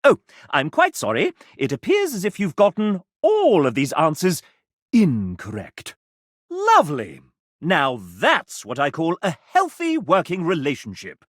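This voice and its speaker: Casting: flamboyant game-show gentleman for a video game, sardonic and pompous, highish pitch, hugely swooping delivery. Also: a little slow, dry recording, studio quality, heavily acted. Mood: dry, sardonic. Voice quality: animated theatrical delivery. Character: sassy, flamboyant gentleman host, pompous and playful. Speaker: game show style host and narrator. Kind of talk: theatrical video game narration.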